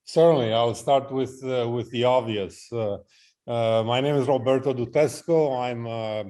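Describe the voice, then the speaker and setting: A middle-aged man, answering interview questions in a halting, reflective way and often listing things out. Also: medium pitch, steady tone, warm tone. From Romania. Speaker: interview guest, artist and photographer. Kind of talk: interview conversation.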